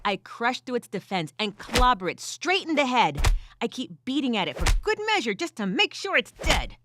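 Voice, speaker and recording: Woman acting a weary, gritty furred adventurer, highly animated. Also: normal speed, background noise. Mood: tense, urgent. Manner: dramatic first-person storytelling with wry asides. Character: weary, gritty furred female adventurer casting wind spells. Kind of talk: audio drama narration.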